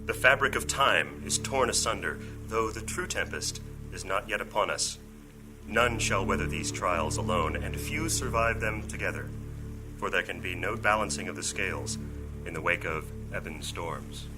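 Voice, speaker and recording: A man voicing a solemn prophet of doom in an epic fantasy audio drama, reciting slowly and portentously. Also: deep voice, animated, over music. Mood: solemn, ominous. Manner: slow, portentous.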